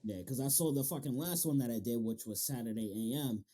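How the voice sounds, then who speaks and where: A man's voice: medium pitch, fast, warm tone. A man hosting a casual interview, talking in loose slang and swearing freely. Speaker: podcast interviewer and manga reviewer.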